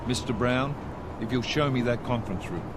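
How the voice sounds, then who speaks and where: A man's voice: mid-range voice, normal speed, lightly acted. A man playing a brusque, authoritative, no-nonsense agency commander in a video game cutscene. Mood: firm. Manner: firm, no-nonsense. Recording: clear outdoor traffic-like noise behind.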